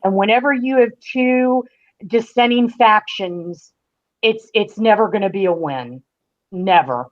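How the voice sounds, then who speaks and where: A woman guest on a podcast, giving casual fan commentary in an emphatic, deliberate way. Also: mid-range voice, dull audio.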